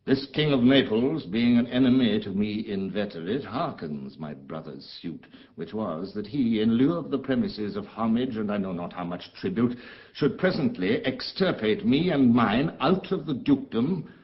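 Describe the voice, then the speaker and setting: A man's voice: mid-range voice, animated, moderate pace, highs cut off, natural voice. An actor playing an embittered, commanding exiled duke and father in a theatrical, declamatory Shakespearean style. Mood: grim, urgent. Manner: theatrical, grave, declamatory, with dramatic swells and hushes. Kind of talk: classical Shakespearean stage acting.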